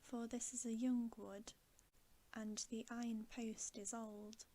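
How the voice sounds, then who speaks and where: A woman voicing an earnest, reflective young queen in a storybook, softly, with polite, formal phrasing. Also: a little high, little pitch movement, takes it slowly. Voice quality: soft, hushed.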